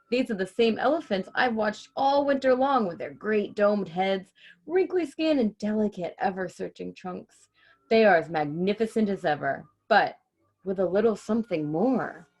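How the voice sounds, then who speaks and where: A woman's voice: mid-range voice, expressive, normal speed. A woman reading a children's story aloud expressively and chatting casually as host.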